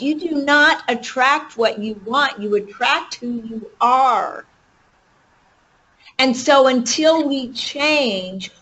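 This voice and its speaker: A woman's voice: a little high, fairly bright tone, poor audio. A woman giving motivational coaching to a group on a conference call, emphatic and checking in with rhetorical questions. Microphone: conference call line.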